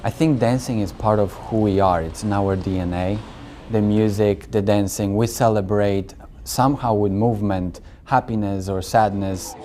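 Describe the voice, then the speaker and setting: This is a male dance teacher with a fairly low, dark voice, speaking in a reflective, measured way. Faint ambient noise sits behind him. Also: fairly even intonation. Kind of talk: interview soundbite.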